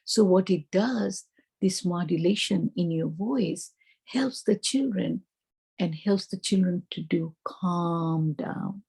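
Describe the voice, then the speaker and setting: Husky, creaky Indian-accented woman teaching. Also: lowish voice, slow, warm tone. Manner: explanatory, asks and answers own questions. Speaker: teacher trainer. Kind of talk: instructional presentation to teachers.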